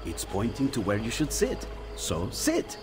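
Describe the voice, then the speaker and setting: An actor playing a gentle, apologetic Renaissance inventor in a video game, speaking courteously and confidingly with an Italian accent. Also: male voice, fairly low pitch, wildly swooping pitch, a little slow, over music. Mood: wry. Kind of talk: dramatic video game cutscene acting.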